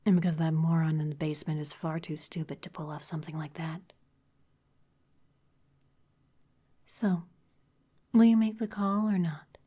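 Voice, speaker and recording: A woman's voice: sits low, steady tone, a little fast, dark tone, slightly rough. A woman voicing a poised female vampire office executive in an ASMR roleplay, mostly whispering, teasing with veiled threats. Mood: amused. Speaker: ASMR performer.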